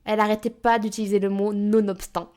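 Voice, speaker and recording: Woman podcast co-host, mid-pitched voice, thoughtful and halting, lots of little hesitations. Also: flat delivery, a little mellow, clear, resonant tone. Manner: thoughtful, hesitant with many fillers. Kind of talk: casual chat about books.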